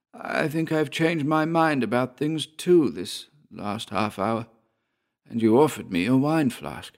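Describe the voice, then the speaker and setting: A man voicing an injured, proud landowner softening toward his old enemy, speaking in a strained, halting way with a hoarse edge. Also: medium pitch, pitched above the performer's own voice. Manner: slow, jerky, strained delivery.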